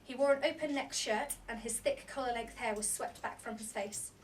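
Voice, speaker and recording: A woman's voice: on the high side, moderate pace. A woman reading a novel aloud as scripted narration, at a book launch. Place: indoor bookshop launch party. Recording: distant mic in a noisy room.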